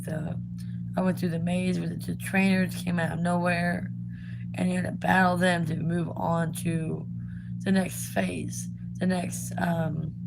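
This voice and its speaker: A young woman talks casually while she draws, her voice lowish and raspy from a recent illness. She rambles along, full of ums and likes. A steady hum and noise sit under the home recording. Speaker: art vlogger. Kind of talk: casual chat while drawing.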